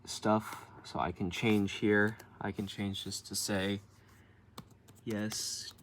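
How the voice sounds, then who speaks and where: A man with a slightly low voice walks through a how-to at a slow, unhurried pace. His delivery is casual and colloquial, like someone explaining while demonstrating by hand. There is some faint noise in the home recording. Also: little pitch movement, fairly bright tone. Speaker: tutorial presenter. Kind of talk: casual step-by-step how-to tutorial.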